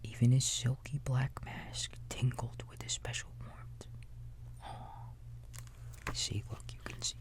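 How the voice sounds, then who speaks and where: A man playing a gentle, big-brotherly raccoon babysitter in a bedtime ASMR roleplay, reading a storybook in a soft, breathy whisper with simple, tender asides. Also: mid-range voice, little pitch movement, natural voice. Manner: tender, slow, folksy, childlike simple wording.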